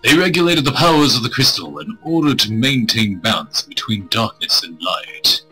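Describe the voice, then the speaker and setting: Bright, husky male game streamer. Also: mid-range voice, sing-song, moderate pace, rough recording. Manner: crude, irreverent joking. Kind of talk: reading visual novel aloud with joking asides. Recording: music playing behind the voice.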